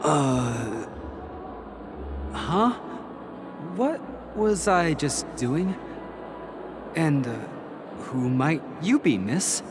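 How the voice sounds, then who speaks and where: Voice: medium pitch, sing-song, extremely slow, long pauses, music in the background. An actor playing an ordinary, skeptical guest in a video game, hesitant and doubtful in manner. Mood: dazed, confused. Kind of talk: scripted video game dialogue.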